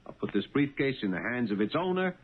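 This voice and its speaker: An actor playing an excitable, stubborn cab driver and husband in a 1970s radio drama, stammering and insistent. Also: male voice, medium pitch, fast talker, natural voice. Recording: noisy narrow-band old radio broadcast.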